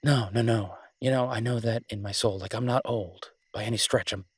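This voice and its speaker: Man acting a husky, low-voiced smitten werewolf boyfriend. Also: steady tone, a little fast, dry recording, clean studio sound. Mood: playful, self-deprecating. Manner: hesitant, rambling, tender and teasing. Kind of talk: intimate romantic audio roleplay, conversational.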